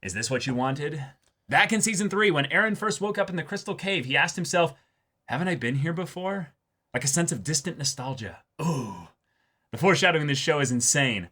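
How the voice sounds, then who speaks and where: A man in casual discussion as co-host of an anime reaction show, halting and often repeating his phrases. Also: on the high side, animated, bright, forceful tone.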